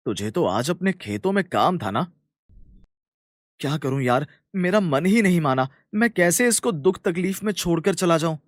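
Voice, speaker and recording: A man voicing a kind, earnest young village farmer in a cartoon story, with a colloquial, animated manner. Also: on the high side, normal speed, no echo, studio quality, lightly acted. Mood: friendly, concerned. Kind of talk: Hindi cartoon story dialogue.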